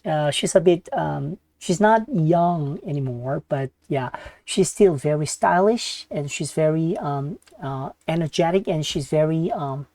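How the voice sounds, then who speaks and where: Korean lady doing a reaction vid, low mellow voice, dry sound, keeps stopping to find english words. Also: dry recording. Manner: halting, searching for English words, switching into Korean. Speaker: reaction video host. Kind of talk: casual reaction commentary.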